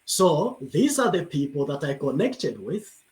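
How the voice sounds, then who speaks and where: Pastor from Zambia, man, panel guest; slightly high pitch, measured and thankful delivery over a video call. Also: sing-song, darkish tone. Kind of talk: panel conversation answer.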